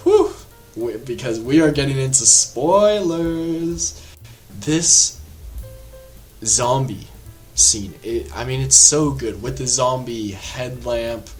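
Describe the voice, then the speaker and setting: A man giving a casual, rambling film review, full of filler words and quick asides, over faint background music. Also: mid-range voice. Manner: animated, rambling, lots of filler words and laughs.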